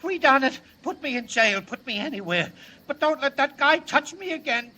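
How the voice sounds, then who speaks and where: An actor playing a terrified, babbling crook who pleads frantically in a 1940s radio serial. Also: male voice, very high voice, lively intonation, normal speed, bright, forceful tone, exaggerated voice. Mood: panicked pleading. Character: terrified, babbling male train-wrecking crook.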